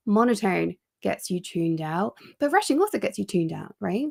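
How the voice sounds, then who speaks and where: A woman, an executive coach, giving an energetic, chatty live online training with quick asides and frequent ums, speaking into a computer mic on a video call. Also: fairly high pitch, gravelly.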